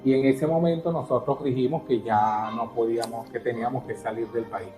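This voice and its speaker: A man from Caracas with a Venezuelan accent, telling his story as an interview guest in an earnest, halting way with many restarts. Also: mid-range voice, steady tone, warm tone, roomy sound, soft background music. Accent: Venezuelan Spanish.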